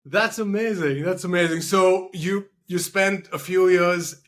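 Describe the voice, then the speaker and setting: A man's voice: sits high, fairly even intonation, measured pace, a bit bright. A man speaking as a podcast host, interviewing a guest in an informal, affirming, conversational way.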